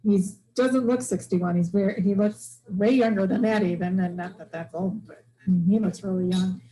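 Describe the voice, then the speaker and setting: A middle-aged woman telling a personal story at a club meeting, chatty and halting with many ums, into a handheld microphone. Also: medium pitch, mellow, rough recording. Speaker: club member sharing news.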